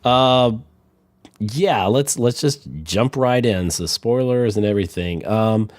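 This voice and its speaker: A man with a cold hosting a podcast, talking in a deep, hoarse voice and rambling casually off on tangents. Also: takes it slowly, no echo. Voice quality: deep, dark voice. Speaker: podcast host.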